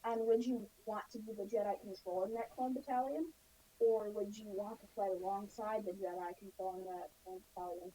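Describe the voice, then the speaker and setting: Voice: medium pitch, fairly even intonation, a little fast, mellow. A young listener leaving a polite question as a voicemail. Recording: hissy, noisy voicemail recording.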